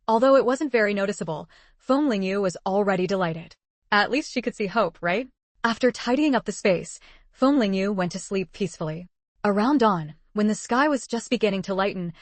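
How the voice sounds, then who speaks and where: A woman narrating a translated web novel in an even, measured storytelling voice. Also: mid-range voice, no room sound. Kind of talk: scripted audiobook narration.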